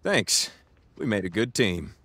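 An actor playing a tough, casual gang boss in a video game, terse and dry. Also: male voice, fairly low pitch, very animated, a little slow, fairly bright tone, breathy edge, no room sound, exaggerated voice. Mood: satisfied. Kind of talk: video game cutscene acting.

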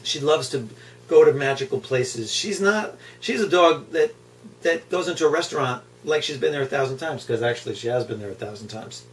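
A man's voice: medium pitch, expressive, a little fast. A middle-aged man talking casually and reflectively to a camera, emphatic and repeating words for effect. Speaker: guide dog puppy raiser. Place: indoor room at home, seated on floor. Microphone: camera mic a few feet away. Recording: distant camera mic, hum and background noise.

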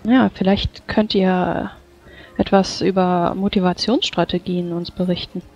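A woman playing a composed war veteran in a tabletop roleplay, probing with polite, formal, measured speech. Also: lowish voice, fairly even intonation, darkish tone, slightly rough. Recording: dull, muffled voice-chat audio. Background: background music.

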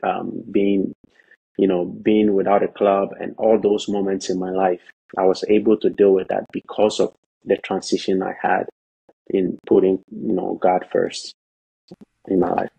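A man from Ghana with a low, gravelly voice that stays quite flat. He speaks as a guest on a video call, calm and measured, leaning on "you know" between thoughts. The tone is dark and a little husky. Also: muffled recording. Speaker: guest, professional soccer player. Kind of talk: casual interview talk. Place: indoors, bright room at home. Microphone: video call, laptop or phone camera.

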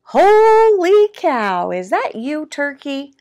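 Woman doing a loud, scolding farm animal. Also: high voice, sing-song, very slow, no echo, lo-fi sound, voice pushed up, exaggerated voice. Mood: snorting surprise. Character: blunt, scolding farm animal, unimpressed by disguises.

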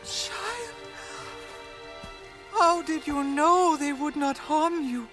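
A weary but commanding alien spiritual leader in a game cutscene. She speaks very slowly and solemnly, with prominent music underneath. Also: sits high, animated, fairly bright tone, full character voice. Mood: wary. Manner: solemn, deliberate. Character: weary, grave female Na'vi spiritual leader, authoritative. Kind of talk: dramatic video game cutscene acting.

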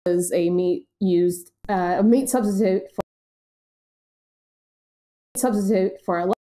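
Woman, American accent, soft mellow voice, casual podcast chat, informal. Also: medium pitch, fairly even intonation, a little slow. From United States. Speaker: podcast co-host.

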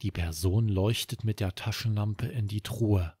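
A man's voice: lowish voice, measured pace, a little mellow, slightly rough, no room sound, clean studio sound. A man narrating a scripted bedtime story for children as the host.

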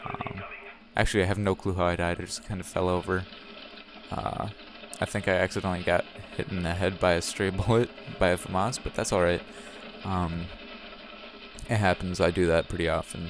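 A young man with a slightly raspy voice, giving casual commentary over his own gameplay footage and often correcting himself as he talks. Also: sits low, fairly even intonation, normal speed, background noise. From Wisconsin, USA. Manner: rambling, self-correcting, chatty. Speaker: gaming channel host. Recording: music playing behind the voice.

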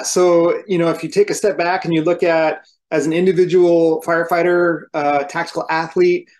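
A man in his forties, host of a firefighter fitness show, speaking in a measured, articulate way on a webcam call from home. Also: on the high side, darkish tone. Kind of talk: casual conversational explanation. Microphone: video call webcam.

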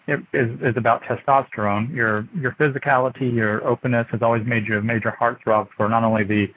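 A man hosts an online radio interview, reading out long, halting questions. His delivery stays flat and monotone with a husky edge, and the sound is thin and phone-like. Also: lowish voice. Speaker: radio show host. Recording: tinny phone line.

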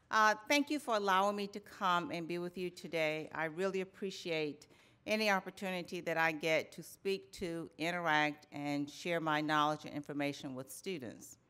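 Middle-aged woman, keynote speaker, lowish voice, deliberate storytelling at a lectern mic. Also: fairly bright tone, smooth. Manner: slow, deliberate delivery with rhetorical questions and lists. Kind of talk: measured storytelling keynote speech. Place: conference hall in front of a banner backdrop. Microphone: lectern microphone, medium framing.

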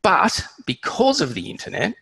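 A middle-aged man with an Australian accent, giving an online presentation in a relaxed, conversational way with a few fillers. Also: sits high, normal speed, vocal fry, husky, no echo. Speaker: webinar presenter.

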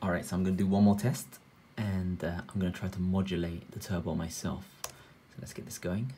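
A man's voice: low-pitched, brisk, a little hiss or hum. A young man doing a casual tech vlog at his home desk, talking straight to the camera with chatty enthusiasm. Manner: chatty, gets loud and giddy with excitement. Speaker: tech reviewer. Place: home desk room with monitors, indoors.

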